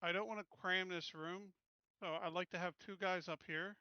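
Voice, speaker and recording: A man's voice: sits high, steady tone, moderate pace, pushed and bright, smooth, no echo, muffled recording. A man playing a tabletop roleplaying game, casually talking through the next move.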